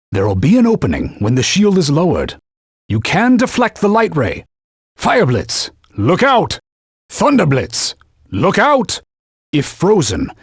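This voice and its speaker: A man's voice: fairly high pitch, breathy edge, no room sound, clean studio sound, heavily acted. A man voicing a haughty talking sword in a video game, a stern knightly mentor who scolds pompously in old-fashioned phrasing. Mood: urgent, then stern warning. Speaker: video game companion guide. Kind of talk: video game voice acting, short combat callouts.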